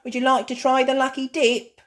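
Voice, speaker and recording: A small, bossy girl piglet with a high, chirpy child's voice, voiced by a woman reading aloud. She pipes well above the reader's natural pitch. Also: flat delivery, fairly quick, close to an everyday voice.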